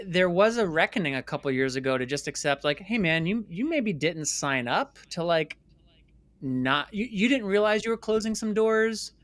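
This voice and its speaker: A man in his late thirties from New York City, an interview guest who talks in an emphatic, animated storytelling way and voices imagined dialogue. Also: high-pitched, normal speed, no room sound. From New York City, United States. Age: late 30s. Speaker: interview guest, filmmaker and company founder.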